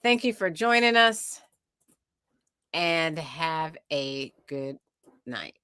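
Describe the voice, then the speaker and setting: A woman's voice: low voice, lively intonation, extremely slow, bright, forceful tone, smooth, dry recording, clean studio sound. An American woman hosting a live talk show, firmly moderating her panel.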